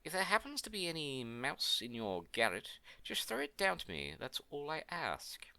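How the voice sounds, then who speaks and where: A fussy, scheming little old woman from a storybook, acted by a male reader, haughty and demanding. The voice swings widely in pitch, with a bright edge and some gravel in it. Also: huge pitch swings, normal speed, no room sound, heavily acted. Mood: sly, wheedling. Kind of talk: storybook character voice.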